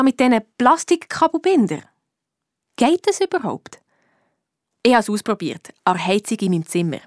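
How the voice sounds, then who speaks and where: A woman's voice: medium pitch, no echo, studio quality, lightly acted. A woman playing a cheeky, stubborn schoolgirl detective in a German audio drama, with a Swiss accent and colloquial storytelling.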